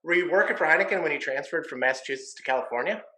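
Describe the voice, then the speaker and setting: Male podcast host, bright voice, loose and friendly banter. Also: a little high, racing. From Michigan, USA. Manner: easygoing, jokey, says "man" and "you know" often. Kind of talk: casual interview chat.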